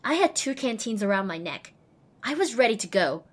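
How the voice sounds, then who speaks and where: A woman's voice: on the high side, very animated, fairly quick, breathy edge. A woman voicing a young, determined and wry female pony who narrates her own adventure in an audio drama. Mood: resolute. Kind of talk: scripted first-person narration in audio drama.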